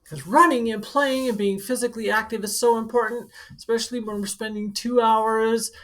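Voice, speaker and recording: A woman's voice: a little high, fairly even intonation, moderate pace. A woman working as a school counselor, reading a picture book aloud to children and adding casual, instructive explanations in simple words. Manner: simple, instructive phrasing aimed at young children.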